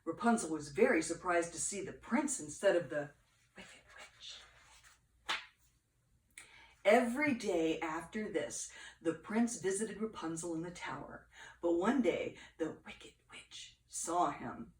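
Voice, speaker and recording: An older woman reads a storybook aloud expressively, with dramatic pauses and playful asides to children. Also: on the low side, lively intonation. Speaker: grandmother reading to grandchildren. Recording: echoey room, distant mic.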